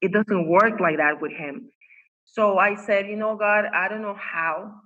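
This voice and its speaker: Earnest Spanish-speaking woman, bright tone. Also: medium pitch, a little slow, highs cut off. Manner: earnest, many "like" fillers and restarts. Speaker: guest, life coach. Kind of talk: faith-based interview conversation. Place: home.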